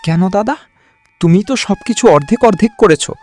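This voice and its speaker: Man playing a meek younger brother, highish voice. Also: expressive, brisk, a little mellow, faint music behind. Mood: mock-innocent. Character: meek but clever younger village brother. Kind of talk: Bengali cartoon dubbing.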